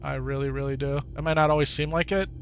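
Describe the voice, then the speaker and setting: Man, Upper Midwest American accent, fairly high voice, casual game-stream chatter, talks to viewers. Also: level tone, fast, dull audio. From Wisconsin, United States. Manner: chatty, talks to his viewers, repeats phrases. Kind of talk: casual live-stream chat over gameplay.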